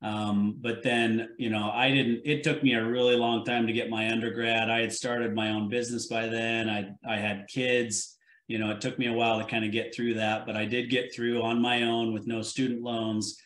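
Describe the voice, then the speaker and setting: A man's voice: medium pitch, little pitch movement, moderate pace, a bit bright. A man in his forties hosting a business podcast, talking in an easygoing way with frequent restarts. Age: 40s. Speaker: podcast host. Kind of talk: casual interview conversation. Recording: echoey room sound.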